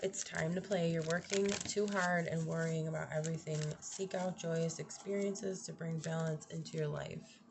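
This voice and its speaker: A young woman with a slightly raspy voice doing a casual tarot reading, thinking aloud, trailing off and restarting her sentences. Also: low voice, steady tone, normal speed, hardly any pauses. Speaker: tarot reader. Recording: audible background noise.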